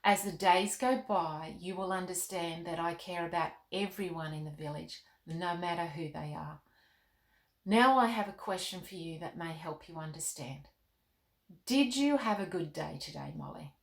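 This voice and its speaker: A woman reading the part of a gentle, wise, fatherly storybook king in a calm, measured, reassuring way. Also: fairly low pitch, natural voice. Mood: calm, kindly. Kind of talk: storybook read-aloud. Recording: roomy, echoing indoor sound.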